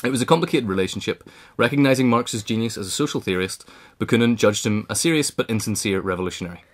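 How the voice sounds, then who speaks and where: A man reads a written history essay as an audiobook narrator. His voice is fairly low and he moves along quickly, keeping a formal, articulate delivery. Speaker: audiobook reader.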